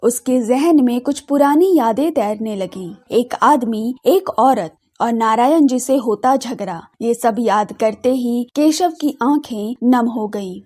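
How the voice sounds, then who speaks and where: A woman's voice: fairly high pitch, slow, hardly any pauses, darkish tone. A woman narrating an audio drama in a scripted storytelling style.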